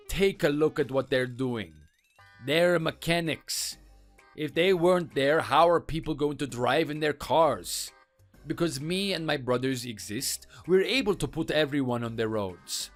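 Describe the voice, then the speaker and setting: A man voicing a scornful, boastful French mechanic who taunts and belittles in a lower, quieter voice, with faint music behind. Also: close to an everyday voice. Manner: taunting, contemptuous.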